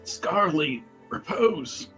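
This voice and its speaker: A man's voice: a little high, animated, takes it slowly, loud music behind the voice. A man voicing an effusive, self-satisfied male scholar in a fantasy tabletop game. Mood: grumbling, chatty. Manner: chatty, effusive, emphatic.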